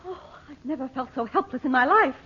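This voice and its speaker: Anxious young radio heroine, pleading, high lively voice, muffled old recording. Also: female voice, brisk, background noise. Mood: pleading, helpless. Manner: pleading, dramatic. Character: earnest, anxious young woman pleading and bargaining. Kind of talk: 1940s radio serial acting.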